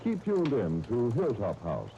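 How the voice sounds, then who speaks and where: A male announcer reads scripted copy in 1930s radio style. His voice is very mellow, with lively swings in intonation. The recording is dull and old. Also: mid-range voice, a little slow, gravelly. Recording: muffled, hissy 1930s radio disc.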